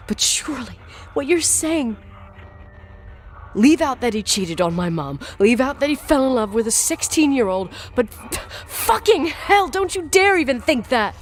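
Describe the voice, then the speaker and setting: A woman's voice: on the high side, big pitch swings, measured pace, a little hiss or hum. An actress playing a strong-willed, defiant young woman in a video game, with an intense, emphatic, sweary delivery. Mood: outraged, hurt. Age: young adult. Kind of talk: naturalistic video game voice acting.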